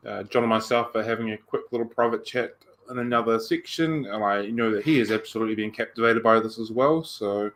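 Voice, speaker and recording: A man's voice: fairly low pitch, a little fast, few pauses, fairly bright tone, slight background noise. A man hosting a podcast in casual chat, upbeat and chatty, sometimes drawing out his words. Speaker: podcast host.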